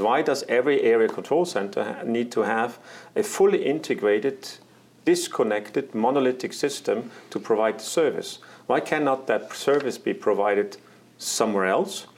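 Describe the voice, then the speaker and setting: A man in an interview, explaining air traffic plans as an executive, halting often with fillers and restarts. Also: mid-range voice. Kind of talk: interview answers.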